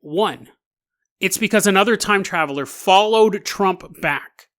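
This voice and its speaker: A man hosting a podcast, telling conspiracy stories casually and jokily, with animated, drawn-out emphasis and an amused manner. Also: high-pitched. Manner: jokey, self-amused, chuckles through his points. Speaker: podcast host. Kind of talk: casual solo storytelling about conspiracy theories.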